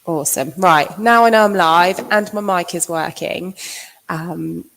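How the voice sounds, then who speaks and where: A middle-aged woman, husky from a cold, talks through a live stream as a fitness coach. Her voice sits low and turns gravelly at the ends of phrases. She chats along with frequent ums. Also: a bit bright, low-quality recording. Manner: chatty, fills pauses with um. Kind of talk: casual livestream talk.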